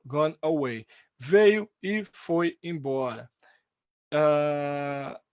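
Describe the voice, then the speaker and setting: A man's voice: fairly high pitch, no echo, clean studio sound. Upbeat Brazilian man teaching English. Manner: lively, with many fillers and restarts. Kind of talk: online English lesson.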